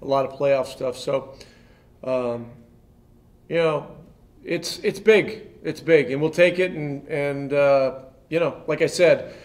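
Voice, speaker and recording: Measured older man, head coach. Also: fairly high pitch. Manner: measured, many fillers and restarts. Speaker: head coach at a press conference. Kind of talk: post-game press conference answers. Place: press room in front of a sponsor backdrop.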